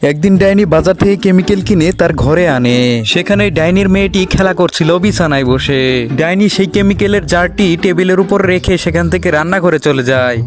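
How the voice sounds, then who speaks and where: High-pitched male children's story narrator. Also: normal speed. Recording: music behind the voice.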